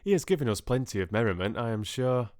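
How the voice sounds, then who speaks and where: A man's voice: medium pitch, very animated, quick pace, a little mellow, pitched above the performer's own voice, lightly acted. Casting: man to play a merry, hearty young nephew for a storybook, exuberant and jovial.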